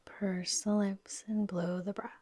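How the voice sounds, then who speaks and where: A woman with a soft, whispery voice gently guiding a yoga nidra relaxation in a soothing, unhurried manner. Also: mid-range voice, flat delivery. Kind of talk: guided relaxation meditation.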